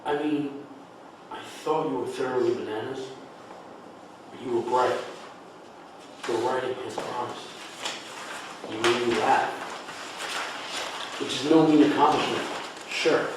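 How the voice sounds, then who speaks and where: A man's voice: mid-range voice, measured pace, long pauses, lightly acted. A man playing a bitter, scornful, betrayed friend in a dramatic table read. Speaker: actor reading a script. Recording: very echoey, distant mic, noisy room.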